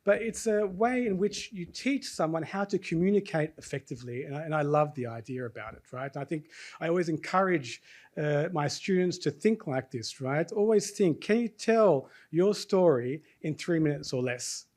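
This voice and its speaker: A chatty Australian man hosts a live university session, with a fairly high voice. He keeps tossing "right?" to the crowd and quizzing them as he goes. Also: moderate pace, darkish tone. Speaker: host of a student presentation event. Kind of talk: lively event hosting before an audience. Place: university lecture hall.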